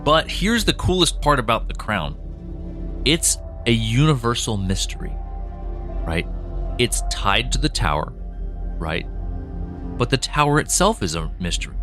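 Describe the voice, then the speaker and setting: A man co-hosting a behind-the-scenes podcast, talking through the lore of his audio drama with animated enthusiasm and plenty of fillers. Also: medium pitch, unhurried, long pauses, soft background music. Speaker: podcast co-host, show creator. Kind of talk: casual behind-the-scenes chat.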